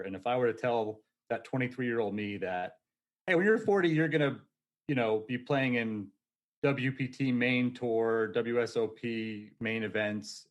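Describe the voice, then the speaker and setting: Upbeat forty-year-old guest, laughing often. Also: male voice, lowish voice, dull audio. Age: 40s. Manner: energetic, laughs easily, casual. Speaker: podcast guest. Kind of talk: casual storytelling interview.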